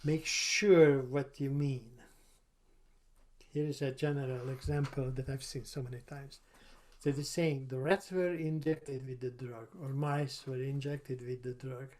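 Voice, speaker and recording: Hungarian-accented man lecturing, mellow voice. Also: medium pitch, fairly even intonation. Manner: halting, with repeated words and fillers. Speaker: professor giving a webinar lecture. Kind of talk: online lecture over slides. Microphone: video call.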